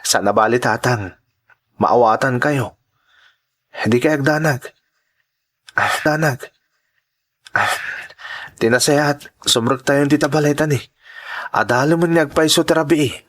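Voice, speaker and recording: A man's voice: medium pitch, unhurried, pauses often, a bit bright. Man acting earnest young Ilocano son. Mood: concerned, dutiful. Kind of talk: radio drama acting.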